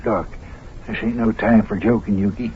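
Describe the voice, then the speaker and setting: An actor playing a bossy, folksy small-town mayor in an old-time radio comedy, with blustery, ungrammatical speech. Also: male voice, fairly low pitch, fairly even intonation, moderate pace, phone-quality sound, lightly acted. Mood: scolding. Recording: thin, noisy old radio recording.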